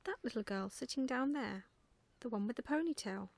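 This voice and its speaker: A woman voicing a patient but firm mother owl in a storybook, gently giving instructions. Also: a little high, lively intonation, moderate pace, vocal fry, no echo.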